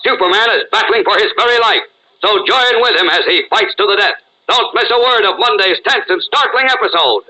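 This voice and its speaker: A man's voice: high voice, expressive, a little fast, pushed and bright, low-quality recording. A man narrating a 1940s-style radio serial as its announcer, with dramatic urgency. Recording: old radio broadcast recording.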